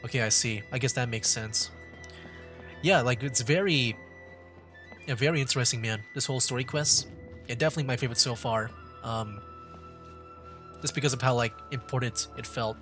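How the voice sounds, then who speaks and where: A man's voice: medium pitch, moderate pace, pauses often, a bit bright. A man chatting casually as a game livestreamer, rambling and repeating his phrases. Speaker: livestreamer, VTuber. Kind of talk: casual gaming livestream chat. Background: game music.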